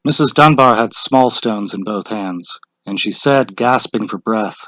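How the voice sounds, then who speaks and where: A man's voice: on the low side, a little slow, a bit bright, dry recording. A man narrating a short story as a scripted dramatic reading.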